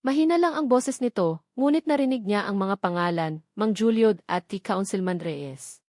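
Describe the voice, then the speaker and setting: A woman's voice: medium pitch, animated, normal speed, darkish tone, no echo. Filipino woman narrating drama, dry close sound. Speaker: story narrator. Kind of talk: scripted dramatic narration of a Tagalog love story.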